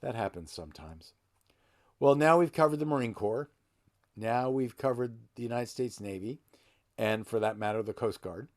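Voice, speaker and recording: A middle-aged man with a gravelly voice hosts a casual genealogy talk, rambling a little and correcting himself as he goes. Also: medium pitch, normal speed. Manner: rambling, self-correcting, folksy. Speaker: genealogy channel host. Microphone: desk microphone close to him.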